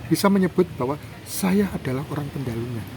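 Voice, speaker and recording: Male guest from Java, lecturing interview style, somewhat high voice, recorded outdoors. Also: fast talker, noisy background. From Java, Indonesia. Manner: lecturing, emphatic, lively rises in pitch for examples. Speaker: cultural expert guest. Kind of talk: explanatory interview talk.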